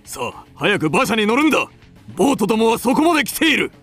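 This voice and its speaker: An actor playing a haughty, cold middle-aged aristocrat, imperious and curt, in a radio drama. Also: male voice, sits high, sing-song, fast, fairly bright tone, faint music behind, exaggerated voice. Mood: urgent. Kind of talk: Japanese radio drama acting.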